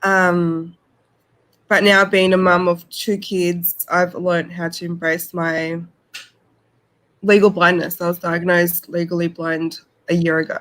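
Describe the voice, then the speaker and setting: A woman's voice: on the low side, level tone, slow, fairly bright tone. Casual Australian woman chatting. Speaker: panel guest. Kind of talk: casual chat, telling personal story.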